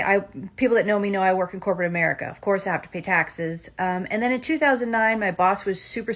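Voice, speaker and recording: A woman from Germany who talks to camera in a brisk, matter-of-fact way. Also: on the low side, fairly bright tone. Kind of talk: casual talk to camera.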